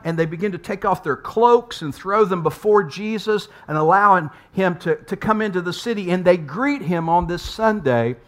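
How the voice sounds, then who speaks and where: A man from the United States preaches in a conversational, unhurried sermon voice. His pitch sits a little on the high side for a man, and he leans on rhetorical questions and restarts words as he builds his point. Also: fairly bright tone. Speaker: pastor.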